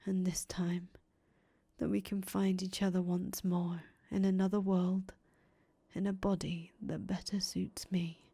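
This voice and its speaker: Woman doing a gentle young man, breathy whispers, slow, drama. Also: on the low side, no room sound. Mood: whispered farewell. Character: soft-spoken young man condemned as a heretic. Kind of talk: audio drama acting.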